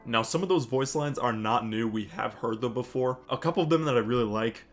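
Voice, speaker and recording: A male gaming channel host talks through game news at a medium pitch, with guitar music playing behind him. He moves between reading out details and adding his own casual, matter-of-fact comments. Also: brisk.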